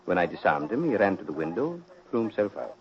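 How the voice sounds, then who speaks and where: Dull old-time radio sound, suave prince of darkness, low-pitched, polite menace, unhurried, urbane, 1940s mystery drama. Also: male voice, big pitch swings, slight background noise. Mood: composed, explaining. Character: suave sinister prince, the Devil in gentleman's guise.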